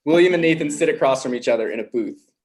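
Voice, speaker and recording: A man's voice: a little high, fast, a little mellow. A man reading out scripted stage directions as narrator at a table read.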